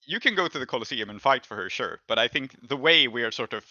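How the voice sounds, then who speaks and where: Bright-voiced man running a tabletop game. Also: mid-range voice, animated, quick pace, no room sound, lo-fi sound. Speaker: game master. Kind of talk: improvised tabletop game narration.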